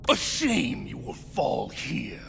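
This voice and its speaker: An actor playing a menacing, zealous alien warlord in a video game, with a gravelly voice and pompous, theatrical oratory. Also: male voice, wildly swooping pitch, slow pace, exaggerated voice. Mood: menacing, grandiose. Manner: theatrical, pompous oratory with hissed whispers and booming shouts. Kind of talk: video game villain monologue.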